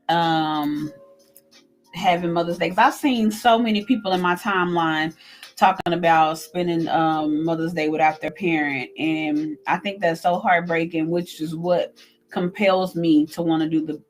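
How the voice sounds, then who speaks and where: A woman co-hosting a casual live-stream talk, gentle and encouraging with many fillers. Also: low voice. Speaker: co-host.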